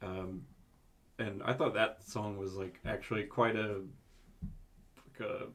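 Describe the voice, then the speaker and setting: A man's voice: lowish voice, little pitch movement, slow, a bit bright. A man co-hosting an album review podcast, rambling casually with lots of fillers and frequent chuckles. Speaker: podcast co-host.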